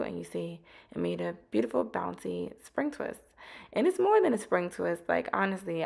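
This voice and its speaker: Low raspy young woman, chatty. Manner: relaxed, chatty, peppered with ums. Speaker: hair tutorial creator. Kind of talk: casual how-to narration. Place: home bathroom, indoors.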